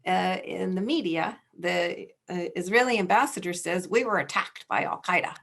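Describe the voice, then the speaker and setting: A woman being interviewed as a network director, telling a story haltingly with many restarts and fillers. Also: lowish voice, creaky, fairly bright tone.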